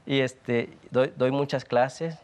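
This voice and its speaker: A young Mexican man talks earnestly as an interview guest, in a voice of middling pitch. He thinks aloud, circling back with fillers and restarts as he explains himself. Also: fairly even intonation, takes it slowly, darkish tone. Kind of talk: conversational TV interview.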